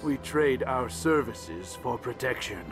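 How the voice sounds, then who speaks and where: A man's voice: medium pitch, lively intonation, measured pace. An actor playing a grumpy, condescending small alien male ambassador in a video game, over background music. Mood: resentful. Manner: curt, resentful, condescending.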